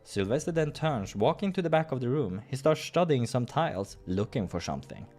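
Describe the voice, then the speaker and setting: Male let's-play host, lively swinging intonation, story read aloud expressively over music. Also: mid-range voice, brisk. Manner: expressive reading with casual joking asides. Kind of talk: narrating a visual novel playthrough, reading aloud.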